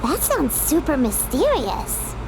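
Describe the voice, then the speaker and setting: A woman's voice: high-pitched, huge pitch swings, slow, noisy background, exaggerated voice. A woman voicing a small, cheerful, chatty fairy companion with a childlike manner in a video game. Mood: curious, chirpy. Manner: refers to self in third person, exclamatory.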